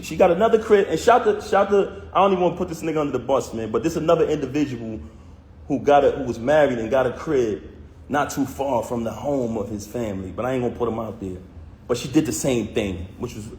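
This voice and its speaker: A man speaks straight to his viewers, recounting a personal story in a heated, emphatic way with plenty of street slang. His voice sits at an ordinary male pitch. The room gives it a noticeable echo. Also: fairly quick, a little mellow. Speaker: vlogger recounting a personal conflict. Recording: roomy echo.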